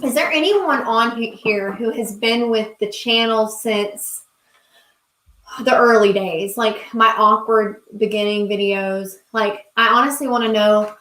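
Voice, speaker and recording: A woman's voice: mid-range voice, pushed and bright. A young woman with a Southern US accent chats casually while working on crafts, gushing and thanking her viewers on a live stream. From South Carolina, US. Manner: chatty and gushing, reading viewer comments aloud. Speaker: crafting channel host. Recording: roomy echo.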